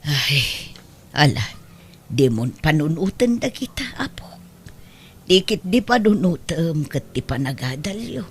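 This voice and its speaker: A woman's voice: low voice, very animated, a little slow, a little hiss or hum, exaggerated voice. A woman with an Ilocano accent playing a worn, protective grandmother in an audio drama, pleading and sighing with emphasis. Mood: gentle, weary. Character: worn, protective Ilocano grandmother soothing her troubled daughter.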